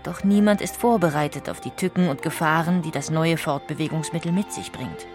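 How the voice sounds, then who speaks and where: A woman with a fairly low voice delivers scripted documentary narration. She sounds measured and factual, and music accompanies the recording.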